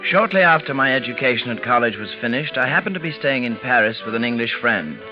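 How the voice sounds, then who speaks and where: Man as grave young gentleman narrator over music. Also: mid-range voice, expressive, close to an everyday voice. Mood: wry, reflective. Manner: measured, literary, dramatic phrasing. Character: young English gentleman narrating, restless, grave, world-weary. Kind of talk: 1940s radio drama narration. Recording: muffled vintage radio recording.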